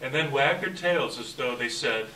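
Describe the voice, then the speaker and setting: A man reads a story aloud in a live event with a bright, forceful voice. The room echoes around him and he sits away from the mic, with faint noise underneath. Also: mid-range voice, lively intonation, normal speed, recorded from a distance. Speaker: event presenter and story reader. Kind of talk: welcome remarks and dramatic live story reading. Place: library event room before an audience.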